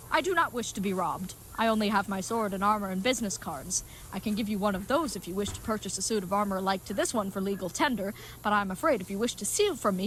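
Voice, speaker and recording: Over clearly noisy ambience comes an earnest, simple-minded knight of an audio comedy , played by a woman. The voice sits at a middling pitch and delivers grand, florid, old-fashioned proclamations with kind-hearted chivalry. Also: a bit bright, natural voice. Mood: defiant, polite. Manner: grandiose, florid, mock-archaic phrasing. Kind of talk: comedic audio drama acting.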